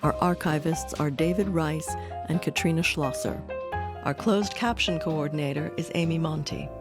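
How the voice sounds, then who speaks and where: Low-voiced woman documentary narrator. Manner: measured, expressive storytelling. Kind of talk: scripted narration. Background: music.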